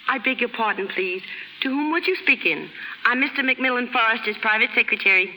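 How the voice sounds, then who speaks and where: Brash, warm-hearted working-class American young woman, ungrammatical, high-voiced, 1930s radio drama with big stage reverb. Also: lively intonation, a little fast, slight background noise. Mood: cheeky. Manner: slangy, ungrammatical, cheeky, putting on airs at times.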